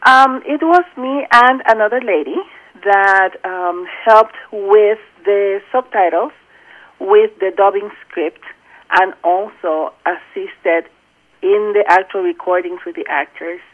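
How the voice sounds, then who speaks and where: A middle-aged woman from Rico, speaking in an earnest, measured way with thoughtful pauses as a guest in an interview. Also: mid-range voice. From Puerto Rico. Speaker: language and accent consultant. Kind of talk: phone interview. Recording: tinny phone line.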